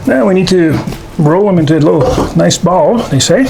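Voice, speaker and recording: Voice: mid-range voice, expressive, brisk, creaky, noisy background. A folksy, jokey host casually talking through a baking demonstration to camera. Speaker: host of a paranormal show doing a baking segment.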